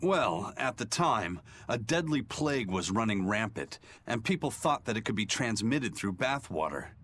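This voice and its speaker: Deep-voiced, scholarly man in a game. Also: big pitch swings, fairly bright tone, a little hiss or hum. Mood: earnest, explanatory. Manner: expository, measured. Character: serious, learned man fond of explaining history. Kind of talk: scripted video game dialogue.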